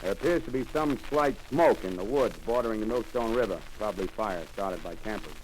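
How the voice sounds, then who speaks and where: A man's voice: fairly low pitch, natural voice. A man playing a cocky army captain. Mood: confident. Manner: brisk, dismissive, overconfident. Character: confident male army signal captain. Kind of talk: 1930s radio drama acting. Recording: scratchy 1930s radio recording.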